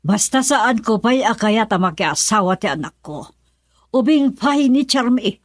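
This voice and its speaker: A woman's voice: a little high, expressive, unhurried, dry recording, studio quality. A woman playing an indignant, accusing mother in an Ilocano radio drama, scolding and insistent. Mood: stubborn, worried. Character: indignant, accusing mother of a runaway young daughter.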